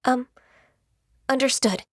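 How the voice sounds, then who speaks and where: Gentle young immortal, game character, fairly high voice, formal, earnest, dry studio sound. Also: female voice, slow, no room sound. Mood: apologetic, meek. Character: gentle, reserved young half-human immortal woman. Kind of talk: video game voice acting.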